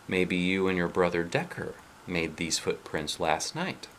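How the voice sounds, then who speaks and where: A brisk young schoolteacher with a teasing streak, read by a man in his own deep voice in a storybook reading. She reassures and jokes with a low, steady sound. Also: slight background noise, heavily acted. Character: young woman teacher, brisk and joking.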